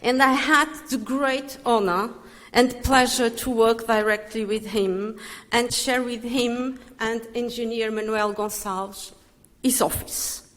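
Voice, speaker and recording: A woman's voice: a little high, fairly even intonation, takes it slowly, fairly bright tone. Older woman in a reverberant hall. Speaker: speaker giving a tribute. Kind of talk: commemorative speech. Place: large reverberant hall, live speech.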